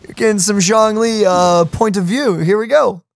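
A woman's voice: mid-range voice, moderate pace, slight background noise. A young woman hosting a let's play, giving casual, joking reactions to a game. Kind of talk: casual gameplay reaction commentary. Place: small indoor room, streaming setup. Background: game music.

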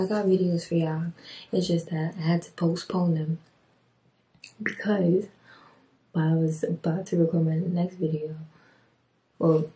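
A woman's voice: lowish voice, a bit bright, far from the mic. A young woman vlogging casually to a handheld camera late at night in a small bathroom, chatty and rambling with slang. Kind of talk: casual vlog talk to camera. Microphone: handheld camera close to the face. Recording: echoey bathroom, faint hiss.

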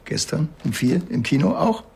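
An actor playing a dry, laconic small-town police detective with a Bavarian accent. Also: male voice, fairly high pitch, moderate pace, vocal fry, breathy edge, full character voice. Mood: probing, sardonic. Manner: deadpan, laconic. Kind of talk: naturalistic TV crime comedy acting.

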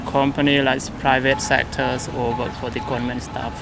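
A man's voice: mid-range voice, flat delivery, moderate pace. Young lao guy doing street interviews, eager and chatty, lots of wows, traffic noise behind. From Laos. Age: young adult. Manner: chatty, eager, frequent "wow" and "mm-hmm" backchannels. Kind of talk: casual English interview questions. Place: outdoors on a city university street.

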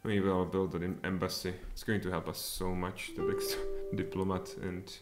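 A man in his thirties with a Czech accent, streaming a strategy game and thinking aloud, with sudden excited outbursts. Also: sits low, moderate pace. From Czech Republic. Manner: mutters thoughts aloud, then bursts out excitedly. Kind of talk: live strategy game commentary. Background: faint music and keyboard typing.

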